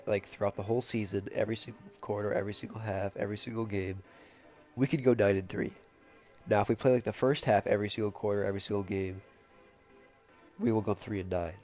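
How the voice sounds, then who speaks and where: A man's voice: fairly low pitch, a little mellow. A young man with a bad cold and stuffed-up nose, casually recapping a football game with lots of fillers. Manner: casual, rambling, many fillers. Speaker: sports podcast host.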